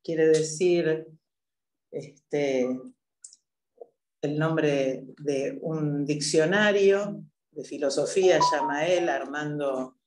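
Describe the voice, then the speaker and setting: Measured woman in an echoey room. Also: sits low, darkish tone, slight background noise. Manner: measured, hesitant, frequent filler pauses. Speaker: event moderator. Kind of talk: introductory talk at a public event.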